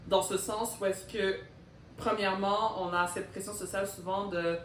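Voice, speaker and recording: A woman's voice: mid-range voice, fairly even intonation, normal speed, a bit bright, far from the mic. A woman in her thirties with a Québécois accent, co-hosting a casual talk and speaking reflectively, with hesitations and English mixed in. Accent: Québécois French. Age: 30s. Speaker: co-host. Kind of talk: casual chat. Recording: echoey room with faint noise.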